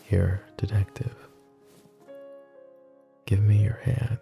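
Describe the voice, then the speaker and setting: Low, gravelly mafia boss acted by a man. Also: steady tone, takes it slowly, warm tone, faint music behind, full character voice. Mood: tender. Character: possessive, flirty mafia boss, devoted and teasing. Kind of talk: intimate ASMR roleplay addressed to the listener.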